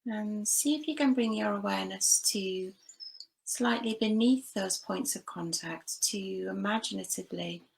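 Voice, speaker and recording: A woman leading a guided body scan meditation, giving gentle, unhurried instructions with long pauses between phrases. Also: medium pitch, gravelly, rough recording. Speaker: meditation teacher.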